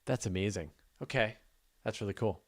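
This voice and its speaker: A man's voice: fairly low pitch, normal speed. A man hosting a live astronomy question show, chatting casually and off the cuff with informal enthusiasm.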